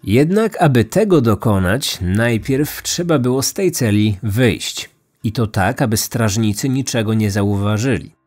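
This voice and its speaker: A man's voice: mid-range voice, lively intonation, unhurried, a little mellow. A man narrating a scripted true crime story as a podcast host. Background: background music.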